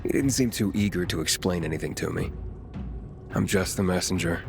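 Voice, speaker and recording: An actor playing a young, dry-witted wanderer in a video game, speaking casually and with understatement. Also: male voice, low voice, brisk, vocal fry, over music. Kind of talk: video game cutscene acting.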